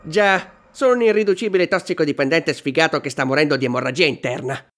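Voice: medium pitch, fast, faint music behind, lightly acted. A performer voicing a sardonic, boastful, battle-worn animatronic rabbit in a melodramatic, mocking cartoon dub. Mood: grim.

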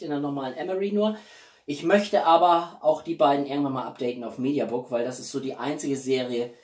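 A man's voice: on the high side, a little mellow. A man, chatting casually and expressively to camera as a video host at home. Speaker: YouTube host. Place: small living room at home, indoors. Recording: roomy echo, distant microphone.

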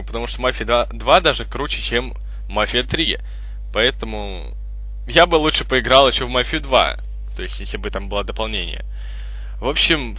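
A young man hosting a gaming video with casual, halting, improvised gameplay commentary. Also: mid-range voice, pushed and bright, no room sound. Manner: hesitant, halting, improvised phrasing.

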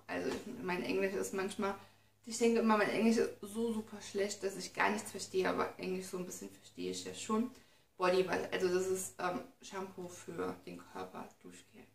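Young woman vlogger, excitable and chatty, some vocal fry, recorded from a few feet away in a roomy living room. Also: mid-range voice. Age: young adult. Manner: chatty, excitable, reads notes aloud. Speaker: beauty vlogger. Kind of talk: casual unboxing chat. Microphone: camera mic from a few feet away. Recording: roomy, distant camera sound with faint noise.

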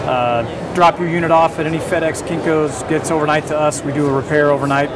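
A man's voice: on the high side, steady tone, fairly quick, noisy background. A man in his forties, a company representative, chatting casually in an interview at a busy indoor trade show. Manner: easygoing, chatty with fillers and restarts. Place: busy indoor expo hall. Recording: loud crowd chatter behind.

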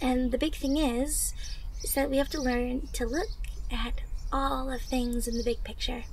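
A young woman playing a caring, encouraging teenage superhero in training, speaking gently and earnestly in an anime-inspired ASMR roleplay. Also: sits high, level tone, a little slow. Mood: gentle, reassuring. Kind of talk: ASMR roleplay, acted monologue to listener. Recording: noisy ambience with birdsong. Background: birdsong, outdoor ambience.

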